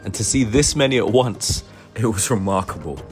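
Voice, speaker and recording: A man's voice: fairly low pitch, lively intonation, moderate pace, soft background music. A man, a wildlife photographer, narrating his family safari journey in an earnest, reflective voice-over.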